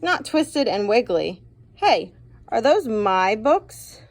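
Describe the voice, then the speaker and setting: A woman voicing a critical old grandfather in a children's storybook, hemming and measured as he doubts what he is shown. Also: fairly high pitch, big pitch swings, dry recording, full character voice. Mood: disapproving.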